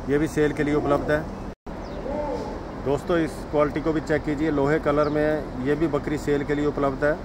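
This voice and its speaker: A man from Himachal Pradesh showing his goats for sale outdoors at his farm, repeating the same sales lines to viewers he calls friends. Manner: repetitive sales pitch, addresses viewers as friends. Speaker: goat farm seller. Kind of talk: walk-around sales video. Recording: outdoor noise in background.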